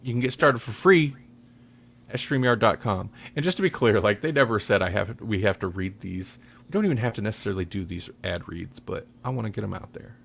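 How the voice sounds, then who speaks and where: A man's voice: on the low side, animated, brisk, vocal fry, slight background noise. A man hosting a podcast, talking in a casual, rambling way with joking, self-deprecating asides. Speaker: podcast host.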